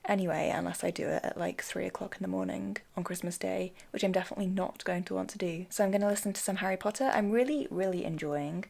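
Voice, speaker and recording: A woman's voice: medium pitch, fast talker, creaky, hardly any pauses, darkish tone. A young woman with an English accent chatting casually and enthusiastically to camera as a vlogger.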